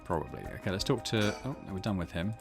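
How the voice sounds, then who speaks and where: A man muttering quiet asides while he plays through a game. His voice is gravelly with vocal fry, and his pitch swings widely between phrases. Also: very animated, quick pace, over music. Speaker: gaming channel host. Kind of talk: game playthrough commentary.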